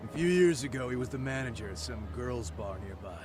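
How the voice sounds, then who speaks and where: A man plays a menacing street thug in a video game, swaggering and quick to threaten. His pitch swings widely and often flares up. Game music plays clearly behind him. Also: lively intonation, lightly acted. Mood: gruff, questioning.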